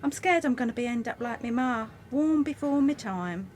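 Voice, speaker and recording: A woman's voice: a little high, expressive, moderate pace, a little mellow, a little hiss or hum, lightly acted. A woman playing a weary, grumbling teenage factory girl in a wartime radio play monologue. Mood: bored, grumbling. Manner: complaining, resigned.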